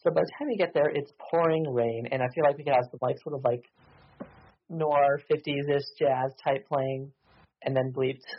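A man's voice: mid-range voice, fairly even intonation, normal speed, lo-fi sound, slight background noise. A man narrating as game master over a voice call, hesitant with many ums and restarts.

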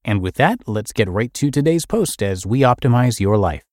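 A man hosting a podcast, reading a blog post aloud in an expressive, animated storytelling way. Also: mid-range voice, normal speed, no echo, studio quality. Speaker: podcast host reading an essay.

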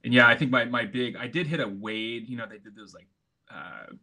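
A man's voice: mid-range voice, brisk, bright, forceful tone. A middle-aged American man with a laid-back manner and frequent chuckles, speaking as a guest in a casual conversation. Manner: laid-back, chuckles often, many restarts. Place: home in Istanbul.

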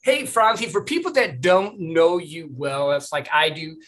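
Male podcast host, fairly high voice, bright tone, friendly interviewer. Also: unhurried. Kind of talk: casual interview hosting.

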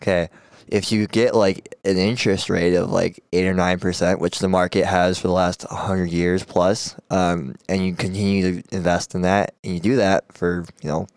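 Accountant guy with a real deep smooth voice, chill podcast chat, lots of "like", dry close mic. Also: little pitch movement, moderate pace, a little mellow, no room sound. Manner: explains plainly, with many restarts and "like". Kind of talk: casual conversational podcast talk.

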